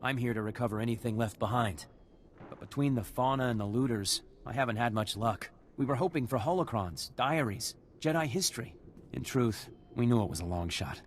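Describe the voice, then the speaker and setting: Mid-pitched male voice, actor as young Jedi scholar, earnest, courteous, video game, light background noise. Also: animated, fairly quick, natural voice. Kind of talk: video game character acting.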